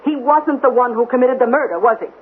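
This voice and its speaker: A woman's voice: fairly high pitch, quick pace, natural voice. An actress playing a determined, pleading mother turned amateur sleuth, insistent and questioning, in a radio drama. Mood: probing. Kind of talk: 1970s radio drama acting. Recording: tinny band-limited old radio recording, hiss.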